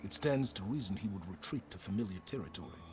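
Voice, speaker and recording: A man playing a measured, scholarly adviser in a video game, speaking in precise, formal, expository phrases. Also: fairly low pitch, animated, lightly acted. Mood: measured, explanatory. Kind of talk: video game dialogue acting.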